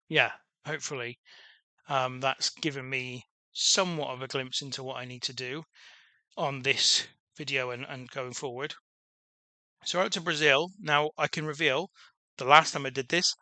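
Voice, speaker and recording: A man's voice: medium pitch, bright, forceful tone, no room sound. Rambling man narrating his gameplay. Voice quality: self-described dull voice. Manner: rambling, self-deprecating, many restarts and fillers. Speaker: gaming channel host. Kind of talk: casual let's-play commentary.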